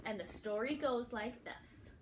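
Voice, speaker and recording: A woman's voice: on the high side, measured pace, a little hiss or hum, rough recording. A woman reading a picture book aloud to young children, expressively, with dramatic emphasis and questions put to the listeners. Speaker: children's read-aloud storyteller.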